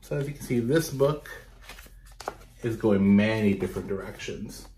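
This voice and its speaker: A man in his thirties reading a picture book aloud to children, pausing to ask questions and explain, recorded in a small room. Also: fairly low pitch, mellow, a little hiss or hum. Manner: instructive, pausing to question and explain to young listeners. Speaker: children's storytime reader. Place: small bedroom, indoors.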